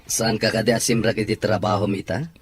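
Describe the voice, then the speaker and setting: A man playing a calm, reassuring helper in an audio drama. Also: fairly low pitch, brisk, soft background music, lightly acted. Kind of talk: amateur audio drama acting.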